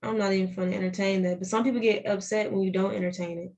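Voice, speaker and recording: A woman's voice: mid-range voice, fairly even intonation, fast, a little mellow. A young woman with a Southern US accent, a podcast guest talking animatedly in casual chat and often restarting her phrases.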